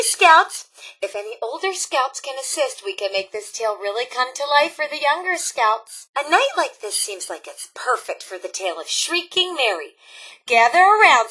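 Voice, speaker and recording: A woman's voice: high voice, sharp tone, breathy edge, heavily acted, low-quality recording. A woman voicing a cheerful, motherly robot scout leader in a video game, theatrical and folksy as a campfire storyteller.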